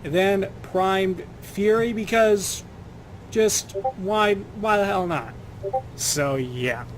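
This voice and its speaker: A young man, slightly hoarse, giving loose casual commentary over his gameplay, with some swearing. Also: sits high, drawn-out words, a little hiss or hum. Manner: loose, profane trash talk at enemies. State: slightly hoarse, itchy throat. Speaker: gaming channel host.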